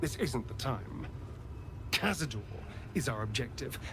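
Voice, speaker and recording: Theatrical vampire rogue, played by a man, swooping pitch. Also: animated, unhurried, noisy background, lightly acted. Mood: weary, conflicted. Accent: English. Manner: theatrical, drawling, sighing. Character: theatrical, wounded vampire spawn man, sardonic and proud. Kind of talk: dramatic video game acting.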